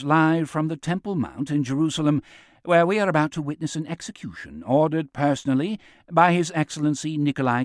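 A man narrating a story, voicing a brisk, businesslike woman TV reporter with a British accent. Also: a little high, dry recording, clean studio sound, close to an everyday voice.

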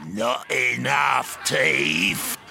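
A man playing a bitter, vengeful orc outcast in an audio drama, harsh and gravelly, hurling drawn-out threats. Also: medium pitch, big pitch swings, sharp tone, faint music behind, exaggerated voice. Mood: bitter, threatening.

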